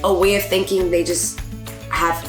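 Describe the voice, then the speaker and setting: A woman's voice: fairly low pitch, normal speed. A young woman from New York with a New York American accent, chatting casually as an interview guest and English teacher, filling her talk with "like". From New York, USA. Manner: chatty, lots of "like", animated. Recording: roomy echo, music underneath.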